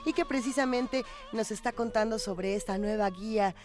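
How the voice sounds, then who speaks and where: A woman's voice: a little high, moderate pace, darkish tone. A woman with a Mexico City accent hosting a magazine show, interviewing in a conversational way. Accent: Mexico City Spanish.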